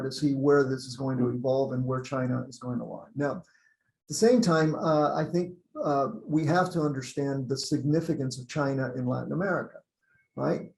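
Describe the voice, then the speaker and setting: A man's voice: medium pitch, gravelly, warm tone. A man speaking as a panelist in an academic discussion, conversational and hesitant, with many fillers. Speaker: panelist, survey director. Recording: echoey room.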